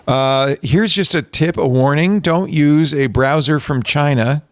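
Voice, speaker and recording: A man's voice: a little high, measured pace, no room sound, studio quality. A man hosting a tech podcast, reading out news items with wry asides. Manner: wry, reads out articles with asides. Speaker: podcast host. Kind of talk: casual chat.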